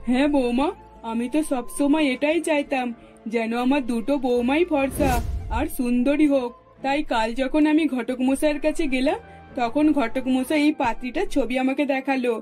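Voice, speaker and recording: Woman doing bossy eager middle-aged cartoon mom-in-law, high voice, emphatic, bit of music behind. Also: steady tone, moderate pace, a little mellow, natural voice. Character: eager, decisive middle-aged mother-in-law matchmaking for her son. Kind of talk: scripted cartoon dialogue.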